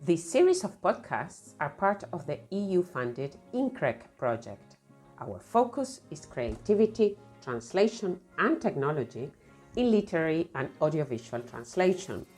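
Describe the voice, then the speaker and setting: A woman reading the scripted opening announcement of a podcast series in a clear, measured way. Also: sits low, sing-song, a little mellow. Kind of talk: scripted intro. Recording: music under the voice.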